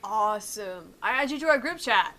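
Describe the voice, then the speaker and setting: A woman's voice: medium pitch, expressive, normal speed, sharp tone. Woman doing a perky girlish tank classmate. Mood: eager, calling out. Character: eager girlish tank character, shy but teasing classmate.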